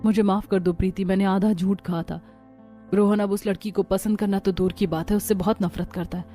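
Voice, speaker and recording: A woman's voice: mid-range voice, fairly even intonation, fairly quick, warm tone, faint music behind, close to an everyday voice. A woman voicing an apologetic, remorseful sister-in-law with an earnest, confessing delivery.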